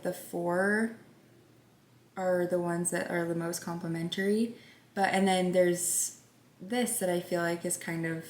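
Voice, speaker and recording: Soft-spoken woman doing her makeup. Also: on the low side, vocal fry. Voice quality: soft gentle voice. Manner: rambling, drops into whispers at times. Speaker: beauty vlogger. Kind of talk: soft chatty get-ready-with-me vlog. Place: home. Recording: echoey room with faint background noise.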